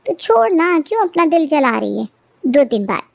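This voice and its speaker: Woman as high-voiced, sensible elder sister-in-law. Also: very animated, moderate pace, vocal fry, dry recording, clean studio sound, full character voice. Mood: resigned. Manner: placating, matter-of-fact. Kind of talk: cartoon family moral story dialogue.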